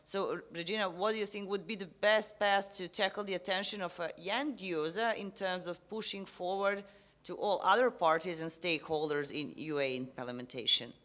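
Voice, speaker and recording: A moderator putting questions to panelists in a discussion, measured and drawn out. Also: mid-range voice, a bit bright.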